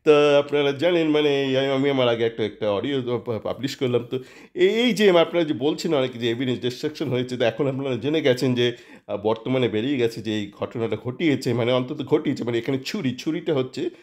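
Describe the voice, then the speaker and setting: A man's voice: medium pitch, darkish tone. A man telling an improvised story and commenting on it informally, often repeating and correcting his phrases. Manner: halting, repetitive phrasing with frequent self-corrections.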